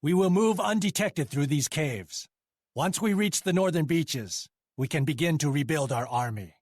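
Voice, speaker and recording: A stern, commanding fleet captain, dramatically inflected. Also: male voice, on the high side, expressive, normal speed, no echo, clean studio sound. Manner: formal, grave, commanding. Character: stern, honourable Chinese fleet captain, resolute and dutiful. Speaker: game character, military leader. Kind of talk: dramatic video game cutscene acting.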